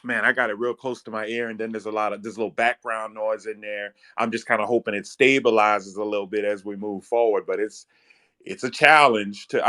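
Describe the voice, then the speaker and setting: A man's voice: lowish voice, a bit bright. A man, chatting casually as co-host, hesitant and full of fillers. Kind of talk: casual chat.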